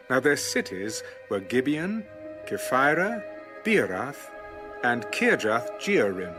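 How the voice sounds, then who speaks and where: Expressive male scripture narrator over music. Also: mid-range voice.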